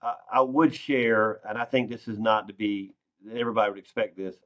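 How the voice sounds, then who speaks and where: A man's voice: on the low side, fairly even intonation, brisk, fairly bright tone, dull audio. A man, giving earnest, plain-spoken interview answers as a farm organization president over a video call. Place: wood-paneled conference room indoors. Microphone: video call, computer microphone.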